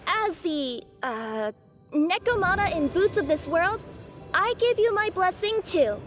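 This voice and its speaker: A friendly young cat-girl spirit, very high and bouncy in pitch. She sounds eager and a little unsure, a fantasy game figure over faint music. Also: expressive, measured pace, a bit bright, full character voice. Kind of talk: video game voice acting.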